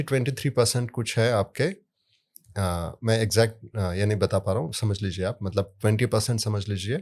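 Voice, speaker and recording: A man explaining sound therapy in a relaxed podcast conversation, telling stories from his work and leaning hard on key words. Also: fairly low pitch. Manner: storytelling with anecdotes, emphatic rises on key words.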